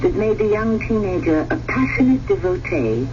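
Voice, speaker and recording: A woman's voice: mid-range voice, very animated, normal speed, music in the background, close to an everyday voice. A woman giving dramatic scripted narration for a radio drama. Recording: distant, muffled, noisy radio broadcast.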